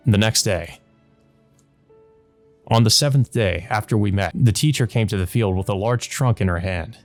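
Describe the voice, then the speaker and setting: A man's voice: lowish voice, moderate pace. A man reading visual novel text aloud as a let's play narrator, dropping in casual asides. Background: music.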